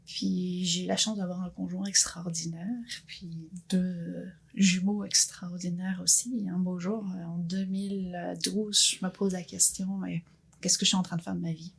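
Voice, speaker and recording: Gravelly, husky woman in her fifties. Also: lowish voice, little pitch movement, normal speed. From Belgium. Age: 50s. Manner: earnest, thoughtful, frequent restarts. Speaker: guest entrepreneur. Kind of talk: reflective personal interview.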